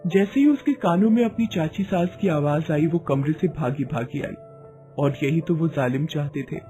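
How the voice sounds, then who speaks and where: A man's voice: on the high side, normal speed, music in the background. A man narrating a scripted moral story in a dramatic storytelling manner.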